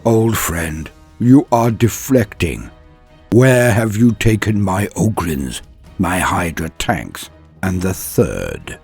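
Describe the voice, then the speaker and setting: A man voicing a stern, weary army commander in an audio story, slow and deliberate. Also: deep voice, very animated, creaky, darkish tone, slightly rough, soft background music, full character voice. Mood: stern, pressing. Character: stern, weary male army commander questioning an old friend. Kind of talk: dramatic audio story character voice.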